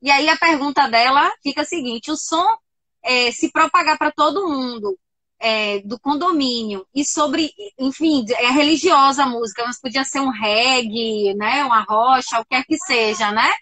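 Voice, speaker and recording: A woman's voice: high voice, moderate pace, sharp tone, no echo. A woman hosting a live radio talk show, passing questions to her guests. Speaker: radio host.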